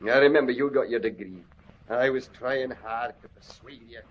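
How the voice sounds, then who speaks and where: Vintage radio play: intense, proud ex-convict played by a man, impassioned, mid-pitched, dull lo-fi sound. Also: moderate pace, slight background noise, lightly acted. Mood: anguished. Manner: theatrical, impassioned. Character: bitter, self-loathing ex-convict brother, proud and intense. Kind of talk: old-time radio drama acting. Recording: dull, lo-fi old radio recording.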